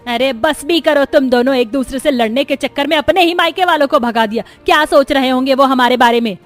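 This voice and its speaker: A woman's voice: high voice, a little fast, close to an everyday voice. A woman voicing indignant, bickering women of a family in a Hindi cartoon story, in a dramatic, scolding manner. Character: bickering, indignant young Indian daughters-in-law and scolding mother-in-law.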